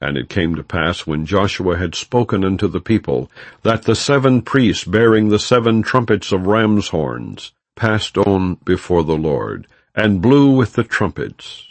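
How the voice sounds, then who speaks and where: Male narrator for scripture audiobook: low, gravelly voice, solemn and measured, recorded close and dry. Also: animated, dry recording. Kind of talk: scripted scripture narration, non-dramatized reading.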